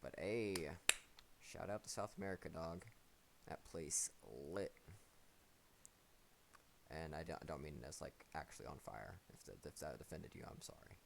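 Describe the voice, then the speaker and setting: Deep-voiced laid-back man hosting. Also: normal speed, gravelly, long pauses, noisy background. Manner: laid-back, slangy, joking. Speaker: tournament host. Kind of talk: casual live-stream hosting.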